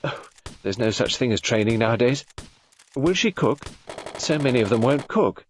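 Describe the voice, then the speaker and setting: A man's voice: medium pitch, lively intonation, normal speed, slight background noise, voice pushed up, natural voice. A man voicing a fussy, self-pitying upper-class married woman, plaintive and gushing, in an audiobook.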